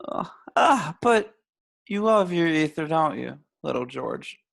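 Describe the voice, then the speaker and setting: Paranoid young stoner dude, played by a woman, slangy and rambling, very animated swings in pitch, amateur table read. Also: takes it slowly, vocal fry, heavily acted. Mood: lazy, amused.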